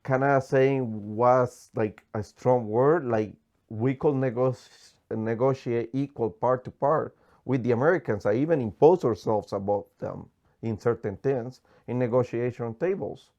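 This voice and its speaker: A man's voice: medium pitch, a little slow, a little mellow. Passionate Colombian-accented man, dull lo-fi sound. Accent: Colombian Spanish. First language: Spanish. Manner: passionate, emphatic, non-native phrasing. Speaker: guest economist. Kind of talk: candid political interview.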